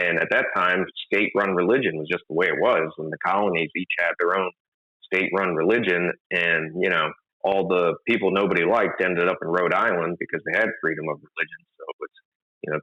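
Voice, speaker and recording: A man's voice: low-pitched, bright, forceful tone, dull audio. A man from Maryland, a documentary filmmaker, talking as an interview guest with many fillers and restarts. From Maryland, USA. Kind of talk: conversational interview.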